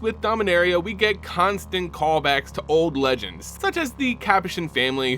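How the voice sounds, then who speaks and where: A man with a bright, ringing voice narrates a fantasy tale with a dramatic storyteller's delivery, his pitch rising and falling widely. He speaks to his viewers as an easygoing channel host, with faint music playing underneath. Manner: addresses viewers casually as "guys". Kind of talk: dramatic lore narration and recap.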